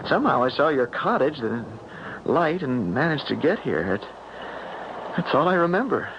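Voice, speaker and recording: An actor playing an earnest, lovelorn young sailor with a halting, hesitant delivery in a radio drama. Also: male voice, fairly high pitch, very animated. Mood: shaken, weary. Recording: muffled noisy 1970s radio broadcast.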